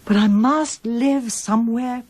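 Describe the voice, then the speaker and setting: An actress playing a candid, reflective middle-aged wife in a radio drama, speaking articulately and earnestly. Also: female voice, on the high side, unhurried, a little mellow, no echo. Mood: resolute. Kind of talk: radio drama acting, both dialogue and first-person narration.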